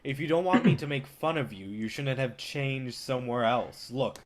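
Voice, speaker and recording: A man's voice: medium pitch, moderate pace, lightly acted. Hard-nosed movie boss, male, read by an actor on stage: mid-range, vengeful, scornful, lecturing. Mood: mocking. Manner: profane, belittling, driven. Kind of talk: staged reading of a play.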